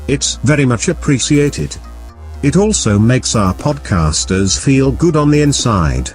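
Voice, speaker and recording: A man reading a scripted podcast outro as the announcer. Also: medium pitch. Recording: music behind the voice.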